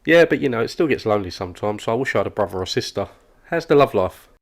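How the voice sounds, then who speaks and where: Man acting fast-talking, oblivious office chatterbox. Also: on the low side, lively intonation. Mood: nosy, oversharing. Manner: rambling, oversharing, cheerfully oblivious. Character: oblivious, relentlessly chatty male office worker. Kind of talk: comic animated dialogue.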